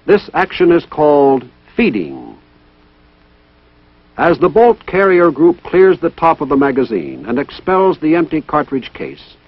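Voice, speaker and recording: Training film narrator: male, higher-pitched, formal and methodical, lively intonation, dull old soundtrack. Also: husky, no echo. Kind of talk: scripted instructional narration. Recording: dull, band-limited vintage military film soundtrack.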